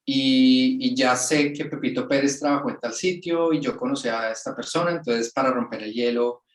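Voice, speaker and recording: A man, a guest on a webinar, talking in a relaxed, colloquial way over a video call. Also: medium pitch, normal speed. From Colombia. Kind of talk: casual webinar interview. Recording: roomy sound from off the mic.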